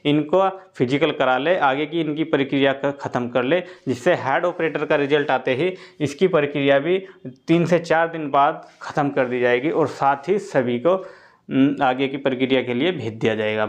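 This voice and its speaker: A man hosting an exam-update video, explaining in a casual spoken way straight to his viewers and repeating points and dates again and again. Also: fairly high pitch. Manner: repetitive, keeps restating points and dates; addresses listeners directly throughout.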